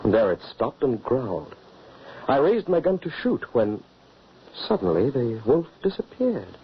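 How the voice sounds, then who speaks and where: A man's voice: medium pitch, big pitch swings, a little slow, husky, heavily acted. An actor playing a hospitable, rugged huntsman father in an old-time radio horror drama, with an earnest, dramatic way of telling things. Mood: earnest recounting. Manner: earnest, dramatic storytelling delivery. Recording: muffled old radio recording.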